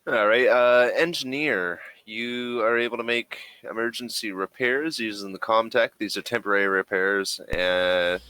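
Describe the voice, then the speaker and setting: A man with a low, bright and forceful voice runs a tabletop game. He talks casually in halting stretches, working out the rules aloud as game master. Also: smooth, no room sound.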